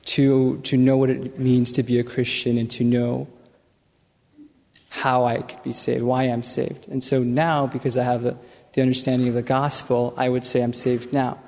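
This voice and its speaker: A man's voice: mid-range voice, level tone, moderate pace, vocal fry, a little mellow. A man from the audience asking a question, speaking earnestly and hesitantly with frequent restarts. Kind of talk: questions from the floor. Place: large church auditorium. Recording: long reverb of a large hall.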